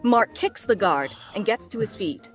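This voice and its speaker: Casting: woman narrator for script read, medium register, expressive range, music underneath. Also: mid-range voice, normal speed. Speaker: narrator reading stage directions.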